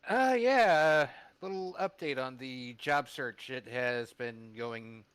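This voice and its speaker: A man's voice: mid-range voice, slow pace, fairly bright tone, clear, resonant tone. A man talking casually as a panel member, with a wry, sardonic manner and some swearing. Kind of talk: casual chat.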